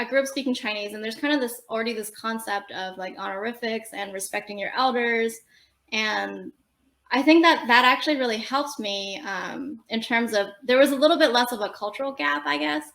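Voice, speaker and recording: A young woman talking as a podcast guest in a relaxed, explanatory way, with lots of fillers and quick laughs. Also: a little high. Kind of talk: casual conversational interview. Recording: roomy echo.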